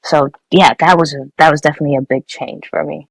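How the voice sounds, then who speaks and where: A woman's voice: sits low, steady tone, fast talker, creaky, slightly rough, no room sound. A young woman from Nepal, a guest engineer, answering interview questions casually with many fillers and restarts.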